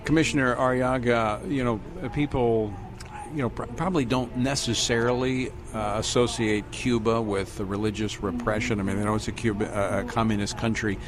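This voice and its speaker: A man's voice: medium pitch, fairly even intonation, moderate pace. A man hosting a news interview, who often stumbles and repeats words as he frames his questions. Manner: hesitant, repeats words while framing questions. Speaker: news host.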